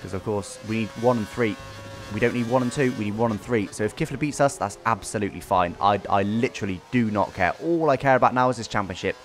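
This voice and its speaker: Male racing-game commentator, rapid-fire and slightly low-voiced, casual and explanatory, music behind. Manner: explanatory, recapping. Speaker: video creator commentating on his own racing game race.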